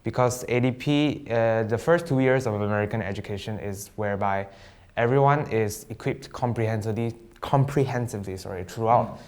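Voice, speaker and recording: Laid-back young man with a low voice. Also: brisk. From Sabah, East Malaysia. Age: young adult. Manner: relaxed, jokey, slangy, hesitant fillers. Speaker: student guest. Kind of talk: casual live-stream chat.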